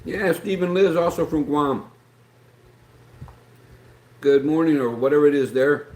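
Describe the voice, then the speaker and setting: A man's voice: on the high side, measured pace, a little mellow. Folksy Appalachian man, echoey room. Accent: Appalachian American. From West Virginia, USA. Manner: rambling, folksy, reads chat aloud. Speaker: live stream host. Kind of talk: casual live stream chat. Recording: echoey room with faint background noise.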